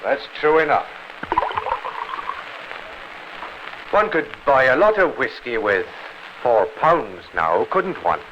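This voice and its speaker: A scheming landlord in a 1950s radio drama. He hints slyly and grudgingly, with a middle-pitched voice that moves up and down a lot. The old recording is dull. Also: very animated, a little slow, noisy background. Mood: insinuating. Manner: insinuating, hinting. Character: scheming, grudging Irish lodging-house landlord.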